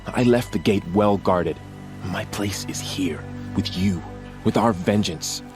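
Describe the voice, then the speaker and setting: Gruff proud warrior in a game, really deep voice, music under it. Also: male voice, slow. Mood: insistent. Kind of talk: video game cutscene acting.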